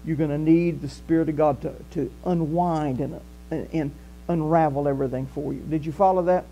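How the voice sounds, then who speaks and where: A man's voice: a little high, fairly quick. An older man with a Southern US accent, preaching a conversational sermon as pastor in a small church hall. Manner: conversational preaching, animated gestures, walks the stage. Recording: faint mains hum.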